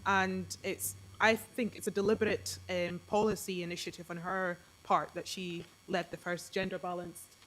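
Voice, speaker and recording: Woman panelist, earnest, lowish pitch. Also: level tone, moderate pace, a bit bright. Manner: earnest, many fillers. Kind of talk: panel discussion answer.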